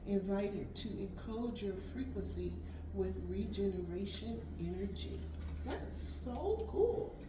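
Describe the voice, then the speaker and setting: A woman with a raspy voice reading tarot cards aloud, gentle and affirming. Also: medium pitch, animated, measured pace, far from the mic. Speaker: tarot reader. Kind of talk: spiritual card reading. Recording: echoey room, voice from off mic, background noise.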